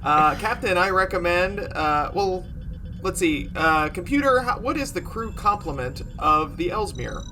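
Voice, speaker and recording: Female role-player, wry, joking, lively swooping intonation, clear background noise. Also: fairly low pitch, moderate pace. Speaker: player acting as starship officer. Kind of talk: casual, joking tabletop role-play.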